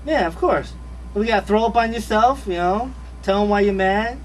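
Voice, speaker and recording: Brief: high-pitched man, radio guest, slangy and repetitive, a little off-mic. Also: moderate pace, distant mic, slight background noise, low-quality recording. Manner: laid-back, animated, slangy, repeats phrases. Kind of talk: casual radio interview chat. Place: radio studio.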